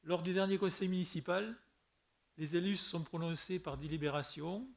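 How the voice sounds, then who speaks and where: Man chairing a town council, somewhat high voice. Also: fairly even intonation, moderate pace, gravelly. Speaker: mayor chairing a council meeting. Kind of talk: chairing a meeting and reading agenda items.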